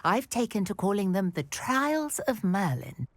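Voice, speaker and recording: A brisk, confident historian with a wry, crisp delivery and a lively voice. The recording is dry and close. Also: female voice, mid-range voice, no room sound. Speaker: historian and archaeologist.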